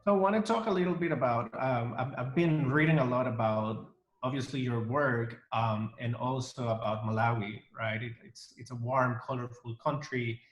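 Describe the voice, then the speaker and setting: A man's voice: medium pitch, moderate pace, hardly any pauses, echoey room, muffled recording. A man hosting an interview over a video call, speaking in a welcoming way with many fillers and restarts. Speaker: interview host. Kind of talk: casual interview.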